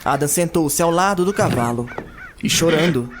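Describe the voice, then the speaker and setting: A man's voice: on the high side, lively intonation, normal speed, background noise. A man narrating a scripted fantasy audio drama as a dramatic storyteller. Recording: loud music behind the narration.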